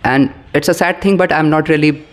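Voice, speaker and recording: A man's voice: on the high side, fairly quick. A man in his thirties with an Indian English accent, a Bhojpuri native speaker from Bihar, answering interview questions as an English professor, thoughtful, with many fillers and restarts. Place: small indoor room, seated on a sofa. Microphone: camera across the room.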